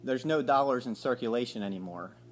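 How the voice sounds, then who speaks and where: A man in his forties at home, asking slow, hesitant, mock-innocent questions on a recorded phone call. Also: mid-range voice, soft background music. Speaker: caller. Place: home living room by a window.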